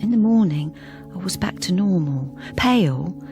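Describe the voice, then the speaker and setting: An actress playing a troubled middle-aged British wife who speaks hesitantly and confidingly in a radio drama. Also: female voice, on the low side, lively intonation, normal speed, over music. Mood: haunted, confiding. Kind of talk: intimate first-person radio drama narration and dialogue.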